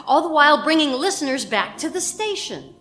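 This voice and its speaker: A woman's voice: high voice, very animated, a little fast, over music. A woman playing a ruthless, persuasive radio station schemer with an assertive, emphatic delivery in a radio play. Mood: excited scheming.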